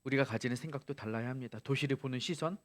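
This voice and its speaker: A man's voice: medium pitch, very fast. A male pastor preaching a sermon, asking the congregation rhetorical questions and rising to emphatic peaks.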